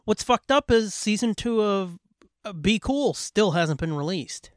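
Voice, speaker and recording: Animated, high-pitched man swearing freely. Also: measured pace, dry recording, clean studio sound. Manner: profane, animated fan talk. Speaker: podcast co-host. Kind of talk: casual pop-culture chat.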